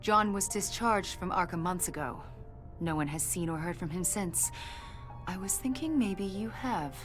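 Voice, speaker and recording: An actress playing a confident government agent in a video game, questioning in a pointed, insinuating and accusatory way. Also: female voice, fairly low pitch, normal speed, music in the background, lightly acted. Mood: pressing. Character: probing female government agent, confident, accusatory, smoothly needling. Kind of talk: video game dialogue acting.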